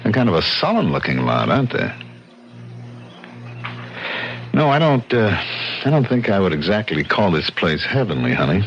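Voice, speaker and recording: Animated, stubborn skeptical husband. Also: male voice, on the low side, brisk. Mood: uneasy. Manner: animated, argumentative. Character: skeptical, stubborn new ranch owner, a chatty husband. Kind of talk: radio drama acting. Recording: noisy, dull old radio recording.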